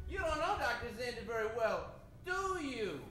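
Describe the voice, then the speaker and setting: An actor playing a wisecracking adventurer's sidekick in old-time radio style, tossing out comic asides. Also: male voice, extremely high pitch, big pitch swings, a little slow, fairly bright tone, exaggerated voice. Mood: wry. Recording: distant, echoey stage with music.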